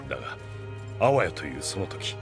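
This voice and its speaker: A man's voice: extremely low pitch, very animated, a little fast, music in the background. A man playing a thoughtful, commanding king in an anime audio drama, telling his story with grave authority. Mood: solemn, reflective.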